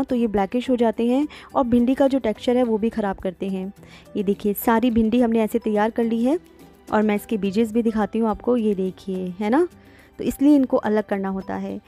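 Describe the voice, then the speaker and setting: A woman cooking-channel host guides viewers through each step of a home recipe. Her voice sits a little high yet sounds warm and mellow. Faint music plays underneath her narration. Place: home kitchen.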